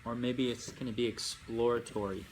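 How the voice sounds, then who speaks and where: Guy teaching yoga nidra, flat lowish voice, long pauses, bit of background noise. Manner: unhurried phrasing with long pauses, gently instructive. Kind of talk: guided meditation. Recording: amateur recording with faint background noise.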